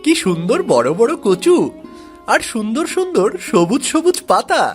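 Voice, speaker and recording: A man's voice: very high-pitched, sing-song, moderate pace, soft background music, voice pushed up. A man voicing a farmer's young son in a cartoon village tale, earnest and plaintive in a boyish way. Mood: eager. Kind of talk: animated moral-tale dialogue.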